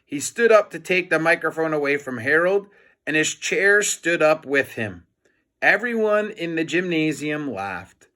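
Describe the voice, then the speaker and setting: A man reading a children's book aloud in an expressive storytelling style, like a teacher to a class. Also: a little high, unhurried.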